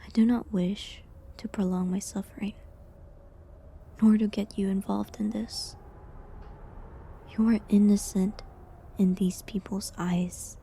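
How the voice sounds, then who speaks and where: A woman playing a gentle, resigned prisoner accused of witchcraft in an intimate medieval audio drama, with a breathy, half-whispered, hesitant and pleading delivery. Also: medium pitch, level tone, slight background noise. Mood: sad, resigned. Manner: slow, hesitant, pleading, slightly formal old-fashioned wording.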